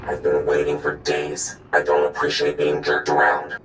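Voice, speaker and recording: A man's voice: rumbling low, monotone, moderate pace, echoey room, background noise. An actor playing a suspicious, demanding male informant who speaks in a terse, distrustful way in a video game. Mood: wary, irritated.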